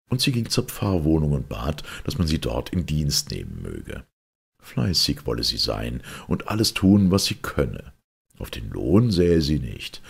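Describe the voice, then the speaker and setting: A man narrating a fairy tale as an audiobook in a measured, solemn, expressive way. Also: rumbling low, vocal fry, slightly rough.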